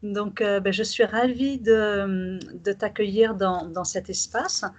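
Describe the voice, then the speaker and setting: Woman life coach, interviewer, mid-pitched voice, halting questions, video call. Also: fairly even intonation. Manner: halting questions, frequent fillers and backchannels. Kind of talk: conversational interview.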